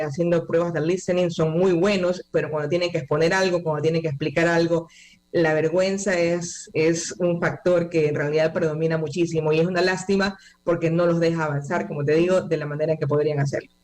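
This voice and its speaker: A woman answers interview questions at length, measured and explanatory, often pausing on drawn-out "eh" fillers. She talks like an experienced teacher laying out her view patiently. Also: fairly low pitch, a little mellow. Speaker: language academy manager, guest.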